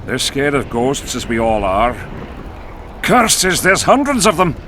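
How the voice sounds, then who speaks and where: Game role: coarse, superstitious monarch, medium pitch with animated swings, theatrical bluster, noisy outdoor backdrop. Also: male voice, unhurried. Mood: uneasy bluster. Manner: haughty, grumbling, theatrical. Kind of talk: fantasy game dialogue acting. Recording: clear outdoor noise behind.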